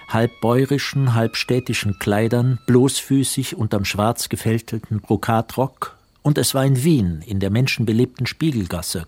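A man playing the grave storyteller, narrating a literary radio drama in measured phrasing. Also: medium pitch, darkish tone, slightly rough. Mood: uneasy. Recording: studio voice with music behind.

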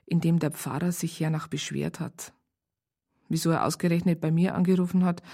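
Mellow, wry narrator. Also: female voice, lowish voice. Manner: wry, conversational. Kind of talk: radio drama storytelling, colloquial first person.

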